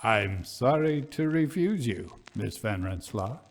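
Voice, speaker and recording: A man playing a grave British colonel in his forties, speaking slowly and deliberately in a ghost story. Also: fairly low pitch, sing-song, exaggerated voice. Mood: grave, reluctant.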